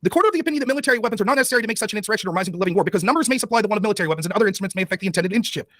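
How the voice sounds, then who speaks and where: A man's voice: high voice, expressive, a bit bright, no room sound, studio quality. A man debating online who insists on his point and reads court opinions aloud. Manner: insistent, reads legal text quickly. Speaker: debate participant, show host.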